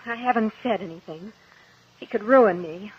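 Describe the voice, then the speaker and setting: An actress playing a guarded, on-edge doctor's assistant in a 1950s radio drama, brisk and curt. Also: female voice, mid-range voice, husky. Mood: tense, worried. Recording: dull, muffled 1950s radio recording.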